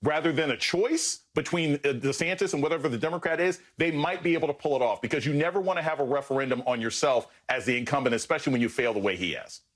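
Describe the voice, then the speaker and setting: A man's voice: fairly high pitch, fast, few pauses. A man appearing as a political analyst guest on a news panel, blunt and sardonic in his commentary. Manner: blunt and sardonic, with pointed jabs.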